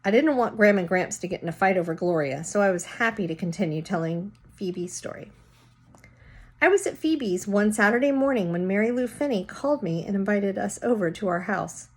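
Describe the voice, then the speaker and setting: A woman's voice: medium pitch, lively intonation, brisk, darkish tone. A woman reading a children's story aloud, narrating and casually explaining words as she goes.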